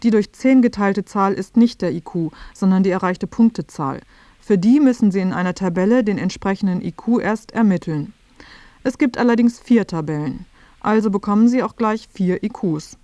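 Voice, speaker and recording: A woman's voice: mid-range voice, normal speed, dark tone, no echo, natural voice. A woman playing a dry, matter-of-fact presenter, reading scripted narration for a satirical radio feature.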